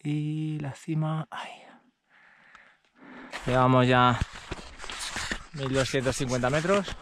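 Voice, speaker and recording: A man's voice: mid-range voice, fairly even intonation, slow. A man from giving casual commentary as he films outdoors on a mountain. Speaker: vlogger filming a ski tour. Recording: noisy outdoor action camera recording.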